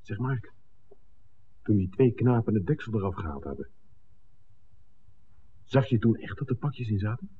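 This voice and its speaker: An actor playing a shrewd, excitable filmmaker who reasons aloud emphatically in a 1960s Dutch radio drama. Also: male voice, lowish voice, moderate pace, pauses often, a little hiss or hum. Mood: intent. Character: John, shrewd excitable filmmaker piecing together a smuggling plot. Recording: roomy reverb, phone-like old radio recording.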